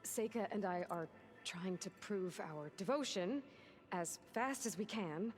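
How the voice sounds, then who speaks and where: A pragmatic young female hunter from a video game cutscene, played by an actress. Her voice sits at a medium pitch, direct and persuasive, with music clearly audible behind it. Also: a little slow, close to an everyday voice. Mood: urgent, persuasive. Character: determined, pragmatic young woman hunter heroine.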